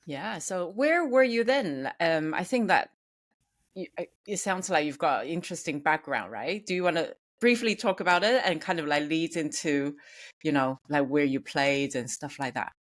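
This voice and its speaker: A woman's voice: low voice, lively intonation, normal speed. A woman hosting an interview podcast, asking curious, encouraging questions with many fillers. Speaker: podcast host. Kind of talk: casual interview conversation.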